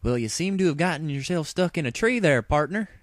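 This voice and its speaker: A man's voice: on the high side, animated, a little fast, no room sound, voice pushed up, close to an everyday voice. A man voicing a teasing, flirtatious female country pony with a folksy, playful Southern American drawl in a storybook reading.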